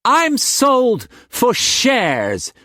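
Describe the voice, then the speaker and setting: Man doing a high shrill young woman, pleading, distraught, dry close sound. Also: big pitch swings, takes it slowly, a bit bright, no room sound, heavily acted. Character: desperate young woman, twenty, pleading, tearful.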